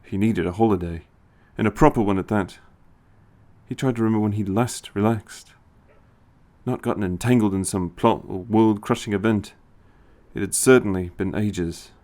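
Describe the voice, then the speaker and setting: Male narrator, lower-pitched, scripted storytelling read. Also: darkish tone.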